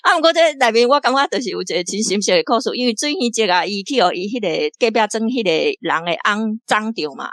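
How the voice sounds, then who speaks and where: Animated woman guest from Taiwan. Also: medium pitch, no echo, studio quality. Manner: animated, laughs often, restarts phrases. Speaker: guest reader discussing the drama. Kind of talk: casual on-air discussion.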